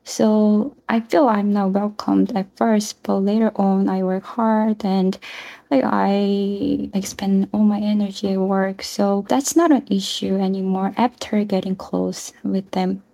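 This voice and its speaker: A young woman from South Korea with a Korean accent, a non-native speaker answering interview questions earnestly in halting phrases. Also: mid-range voice, flat delivery, gravelly, dark tone. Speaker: interview guest, mental health nurse and researcher.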